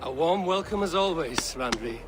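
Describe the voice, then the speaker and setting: An actor voicing a steady male Viking raider hero with a measured, dry-witted delivery in a video game cutscene. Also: on the high side, background noise, natural voice. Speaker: game protagonist.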